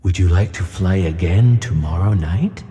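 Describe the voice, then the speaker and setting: A man playing a wise, gentle, fatherly giant flying tuna in a children's audio play, calm and reassuring. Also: low-pitched, normal speed, close to an everyday voice. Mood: kindly, firm. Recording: long cavernous reverb on voice.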